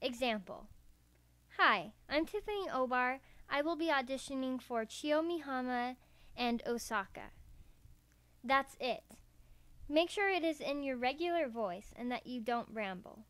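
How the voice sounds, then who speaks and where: A woman's voice: sits high, animated, a little slow, a bit bright, clear, resonant tone, no room sound. A woman narrating a scripted how-to tutorial in a careful, step-by-step way. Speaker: voice acting tutorial presenter. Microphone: USB karaoke microphone.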